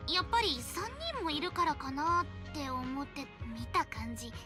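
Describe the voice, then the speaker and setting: A woman's voice: sits high, expressive, quick pace. A woman voicing a sweet, chatty young girl in an anime game. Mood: lightly amused. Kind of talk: Japanese anime game voice acting. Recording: game audio under music.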